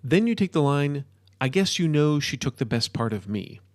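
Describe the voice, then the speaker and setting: A man voicing an obsessive, excitable modern-day wizard in an audiobook story, with animated, emphatic lecturing. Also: on the high side, moderate pace, no echo, clean studio sound, voice pushed up. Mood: intense lecturing.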